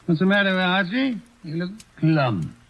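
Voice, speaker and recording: Brief: a high-voiced, dryly sardonic heavyset master detective, 1940s radio play, muffled lo-fi transcription. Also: male voice, very animated, vocal fry, background noise, full character voice. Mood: dry, amused. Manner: measured, urbane, sardonic. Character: corpulent, dry, imperious older male detective. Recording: dull lo-fi old radio transcription.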